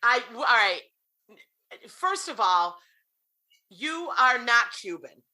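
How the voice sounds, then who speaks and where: Woman podcast host, very bright tone, teasing and chatty, quick to laugh. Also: on the high side, big pitch swings, rough recording. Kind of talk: casual chat.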